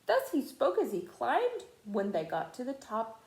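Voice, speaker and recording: Female storybook reader, teacher type, expressive, highish voice with big swoops, echoey recording. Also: measured pace. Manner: expressive, dramatic. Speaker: teacher reading aloud to students. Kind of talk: animated children's storybook read-aloud.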